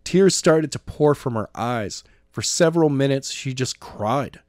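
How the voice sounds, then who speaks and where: A man narrating a novel chapter in a measured, amateur audiobook style. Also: mid-range voice, expressive, dry recording, clean studio sound. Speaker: podcast narrator and co-host.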